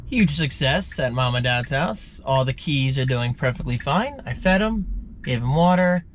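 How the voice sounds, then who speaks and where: A man chatting to his vlog camera at home, his voice swinging lively up and down as he rambles from one aside to the next. He sounds a bit stuffed up and congested, with a faint hum of the room behind him. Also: mid-range voice, moderate pace. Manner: chatty, upbeat, rambling aside-filled talk. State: slightly congested. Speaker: vlogger. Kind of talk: casual daily vlog chat to camera. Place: at home, indoors.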